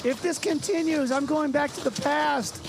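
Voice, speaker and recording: A man's voice: very high-pitched, fairly even intonation, moderate pace, creaky, noisy background. A man playing an exasperated caller, a former sitcom actor out of his time, pleading and scolding in a comic radio skit.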